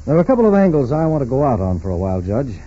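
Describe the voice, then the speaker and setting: An actor playing a calm, probing FBI agent in a 1940s radio drama, questioning in a businesslike, matter-of-fact way. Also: male voice, mid-range voice, racing, breathy edge. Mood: calm, pointed. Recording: muffled, noisy 1940s radio recording.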